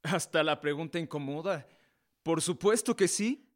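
An actor in a radio drama playing an earnest, idealistic young law apprentice with a theatrical, deliberate delivery. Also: male voice, on the high side, close to an everyday voice. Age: young adult. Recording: guitar music behind the voice. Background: guitar music.

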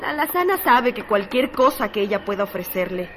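A woman's voice: medium pitch, very animated, brisk, a bit bright, a little hiss or hum. A woman playing the sober narrator of a bleak literary tale in a radio drama.

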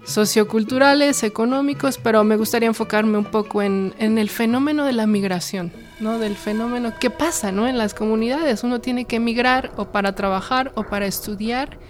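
A middle-aged woman with a Mexican accent speaks in a fairly high voice. Her delivery is reflective and conversational, like someone being interviewed. Light music plays behind her. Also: normal speed. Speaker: independent publisher and illustrator. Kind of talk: personal interview narration.

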